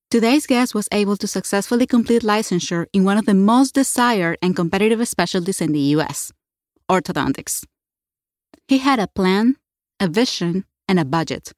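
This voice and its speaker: A woman's voice: medium pitch, a little mellow, no echo, clean studio sound. A woman hosting an interview podcast, reading a prepared introduction and then welcoming her guest in an earnest, friendly way. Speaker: podcast host.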